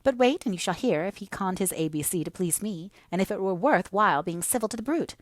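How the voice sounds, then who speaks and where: A woman's voice: mid-range voice, animated, a little fast, dry recording, clean studio sound, close to an everyday voice. A woman voicing a spirited, haughty teenage girl with a mocking, impetuous manner in a novel reading. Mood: petulant.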